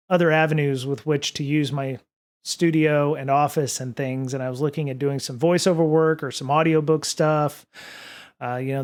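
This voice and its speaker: Man, slightly higher voice, casual livestream host, rambling, lots of ums and restarts, home studio mic. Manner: rambling, many fillers and restarts. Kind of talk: casual livestream chat reading viewer comments. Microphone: studio microphone at desk.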